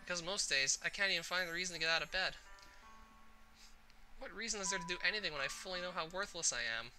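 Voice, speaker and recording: A woman's voice: fairly low pitch, quick pace, music in the background, pitched above the performer's own voice, natural voice, poor audio. A woman voicing a sad, fragile teenage anime girl in a visual novel, hesitant and self-blaming behind a forced smile. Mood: resigned, bleak. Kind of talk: visual novel character voice-acting.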